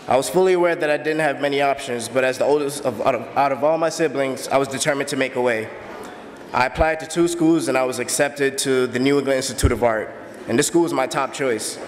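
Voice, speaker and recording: A man in his early twenties from the Bahamas, earnestly telling his own story as a steady personal testimony. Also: medium pitch, long reverb tail, slight background noise. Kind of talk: personal testimony, read aloud.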